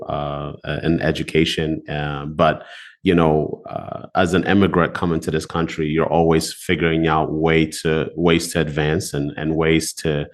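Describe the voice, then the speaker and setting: A man from Ethiopia in his thirties, a loan officer answering interview questions in a relaxed way, with many filler pauses. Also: very deep voice.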